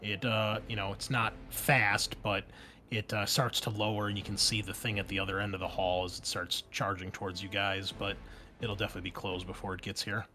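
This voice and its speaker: A man's voice: lowish voice, flat delivery, a little fast, pushed and bright, soft background music. A man acting as game master, improvising narration and explaining rules in a conversational, wry way. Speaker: game master of a tabletop RPG.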